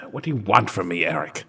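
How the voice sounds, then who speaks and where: A man's voice: sits low, expressive, moderate pace, sharp tone, husky. A man playing a tabletop roleplaying game, chatting casually and improvising with joking, mock complaints. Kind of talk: casual chat with in-character improvisation.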